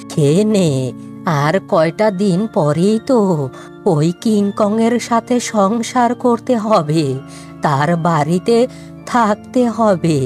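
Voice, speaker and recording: A woman voicing a stern, scolding village father in a cartoon, with a drawn-out, admonishing manner. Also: mid-range voice, darkish tone. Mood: placating. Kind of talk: cartoon dialogue dubbing. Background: music.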